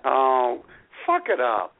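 A man's voice: mid-range voice, huge pitch swings, slow pace. A man hosting a radio show with casual, teasing comedic banter. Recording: lo-fi radio sound, faint noise.

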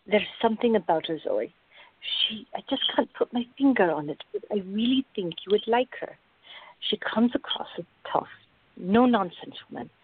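An actress playing a calm, patient, gently firm woman who reasons in measured tones in a radio drama. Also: fairly high pitch, slight background noise, natural voice. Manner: measured, placating, explanatory. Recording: tinny, band-limited, echoey room.

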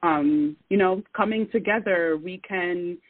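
Radio guest with an even mid-range voice, earnest and measured, heard on a dry phone-like line. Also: medium pitch, no echo. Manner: earnest, measured, with many fillers. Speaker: guest artist. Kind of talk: casual interview talk.

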